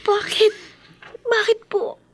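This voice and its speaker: Pleading high-pitched young Filipina heroine. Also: female voice, flat delivery, slow. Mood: tearful, pained. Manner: pleading, emotional delivery. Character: Nina, desperate tearful young woman, monster's daughter. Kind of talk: Filipino horror radio drama acting.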